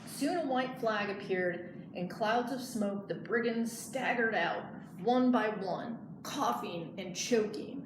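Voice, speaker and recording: A woman librarian reading a picture book aloud in an expressive storytelling style. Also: medium pitch, slow, hardly any pauses. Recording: echoey room, clear background noise.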